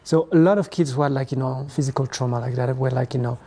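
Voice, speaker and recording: A man, a guest telling his life story in an interview, speaking in a reflective, halting way. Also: mid-range voice, crowd noise. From Ethiopia, raised in France. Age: 20s. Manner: reflective, hesitant, halting phrasing. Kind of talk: conversational interview.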